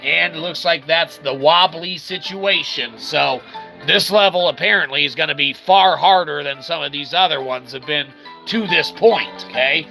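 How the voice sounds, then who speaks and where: A man's voice: on the high side, moderate pace, creaky, low-quality recording. A man giving casual, chatty let's-play commentary as a game streamer, rambling along and tagging his remarks with "okay" and "all right". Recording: loud game music behind the voice. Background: video game music.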